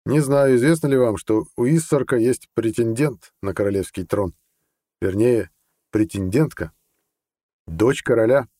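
A man's voice: medium pitch, measured pace, warm tone, dry recording, clean studio sound, voice pushed up, close to an everyday voice. A man voicing a blunt, ambitious army colonel, plain-spoken and earnest, in an audiobook. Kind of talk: audiobook character dialogue.

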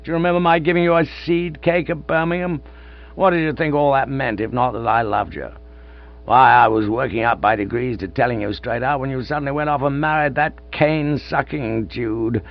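A man's voice: mid-range voice, brisk, voice pushed up, natural voice. A man voicing an old male stage veteran, blustering and insistent, in a storybook reading. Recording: dull lo-fi with mains hum.

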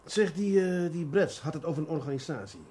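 An actor playing a shrewd, patient, fatherly police detective in a Dutch radio drama, pressing with deliberate, drawn-out emphasis. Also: on the high side, darkish tone, lightly acted. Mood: probing. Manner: deliberate, coaxing, drawn-out emphasis, mildly ironic.

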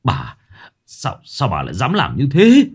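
A man voicing a quick-tempered, flustered older village husband who snaps and then pleads. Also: mid-range voice, huge pitch swings, heavily acted. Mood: panicked. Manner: gruff, pleading.

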